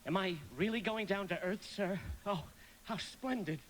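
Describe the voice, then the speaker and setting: A man plays an old apprentice angel in a radio drama, naive and eager to please. His voice is fairly high and fussy, with faint noise behind it. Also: normal speed, lightly acted, low-quality recording. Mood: eager, polite. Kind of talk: live radio play acting.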